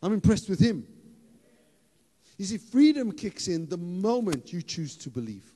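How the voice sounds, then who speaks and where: A man delivers a sermon from a church stage, holding a handheld mic. His voice sits somewhat high and he shifts from easy, joking asides to loud, emphatic declarations. The hall adds a long reverb to every line. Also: unhurried. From South Africa. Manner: animated, playful, rises to loud emphatic bursts. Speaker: pastor.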